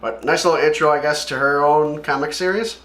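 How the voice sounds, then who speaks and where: A man's voice: a little high, steady tone, fairly quick, fairly bright tone. Guy doing casual comic read along commentary, kinda opinionated and offhand, roomy echo on it. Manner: describes panels offhand, opinionated. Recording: echoey room.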